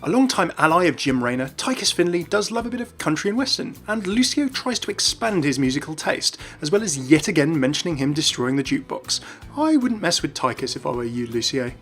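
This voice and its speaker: Casting: male gaming video narrator, enthusiastic scripted read, brisk, on the higher side. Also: sing-song, a bit bright, soft background music.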